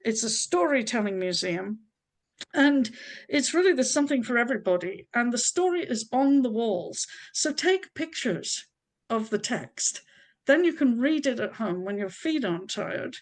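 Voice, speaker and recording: A woman's voice: a little high, very animated, normal speed. A woman from Scotland with an English accent, a historian giving a chatty, opinionated talk full of asides. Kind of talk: informal talk.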